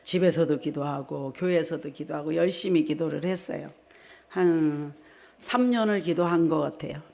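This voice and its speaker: A middle-aged woman from South Korea, a preacher giving a personal testimony at a revival meeting in an animated storytelling manner. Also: deep voice, moderate pace, gravelly. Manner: animated storytelling, re-enacts her own prayers in direct speech.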